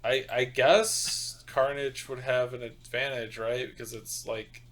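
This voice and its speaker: Joking young man, mid-pitched voice. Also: fairly even intonation, measured pace, fairly bright tone. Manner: profane, joking. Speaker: podcast co-host. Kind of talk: casual comedic chat.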